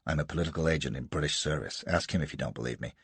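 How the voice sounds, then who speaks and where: A man's voice: extremely low pitch, rapid-fire, fairly bright tone, husky, dry recording, pitched below the performer's own voice, exaggerated voice. A man voicing a shifty, brazen American rogue who answers in a curt, cool, defiant way, with an American accent.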